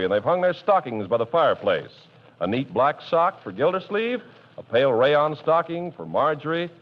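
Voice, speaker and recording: A man working as a radio announcer, reading scripted ads and narration in a crisp, emphatic manner. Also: mid-range voice. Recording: dull old radio broadcast.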